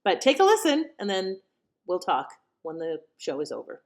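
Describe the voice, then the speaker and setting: A woman's voice: medium pitch, huge pitch swings, moderate pace, darkish tone. A middle-aged or older woman hosting, casually introducing a show to listeners. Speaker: podcast host.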